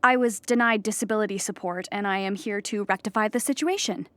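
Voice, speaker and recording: An actress playing an anxious, childlike clown who rambles earnestly in a theatrical audio comedy monologue. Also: female voice, medium pitch, a little fast, fairly bright tone, dry recording, lightly acted. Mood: earnest, nervous. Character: anxious, childlike clown pleading at an appeal hearing.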